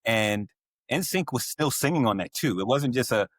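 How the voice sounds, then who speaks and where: A man co-hosting a podcast, chatting casually and backing up his guest with relaxed affirmations. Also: mid-range voice, normal speed, no echo, studio quality. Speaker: podcast co-host.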